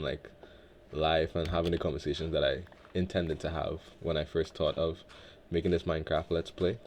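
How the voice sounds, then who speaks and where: A man from Guyana hosting a relaxed game let's play, talking in a laid-back, reflective way with many filler words. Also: low-pitched, a little hiss or hum. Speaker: Minecraft let's play host. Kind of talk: relaxed gameplay commentary.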